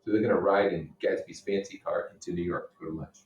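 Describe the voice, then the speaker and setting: Male teacher, deep voice, reads literature aloud with explanatory asides; roomy sound, mic at a distance. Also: fairly even intonation, quick pace, highs cut off, faint music behind. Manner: explanatory, puts rhetorical questions to listeners. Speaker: teacher reading a novel aloud. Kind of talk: read-aloud with explanatory comments. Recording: roomy echo, distant microphone.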